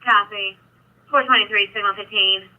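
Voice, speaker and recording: Dull scanner-radio sound, woman, police dispatcher, lowish voice for a woman, clipped code-heavy delivery. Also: steady tone, normal speed. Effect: two-way police radio.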